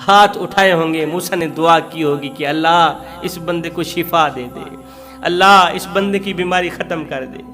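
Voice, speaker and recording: A man's voice: a little high, measured pace, bright, forceful tone, faint music behind, pitched below the performer's own voice. A man voicing an anxious, devoted wife in a sermon story, pleading repeatedly with her husband. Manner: pleading, repetitive.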